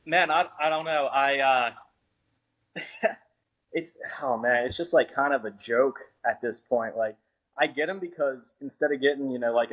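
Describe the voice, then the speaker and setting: A man's voice: medium pitch, low-quality recording. A young adult man, an author guest on a podcast, talking in a laid-back, joking way and swearing freely. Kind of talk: casual podcast interview.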